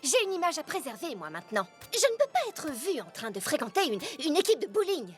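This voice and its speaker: Young headstrong bug: animated cartoon delivery, emphatic and informal, very high pitch, music bed. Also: female voice, quick pace. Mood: defiant. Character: headstrong, feisty young bug, loyal at heart. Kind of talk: animated cartoon dub acting. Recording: music behind the voice.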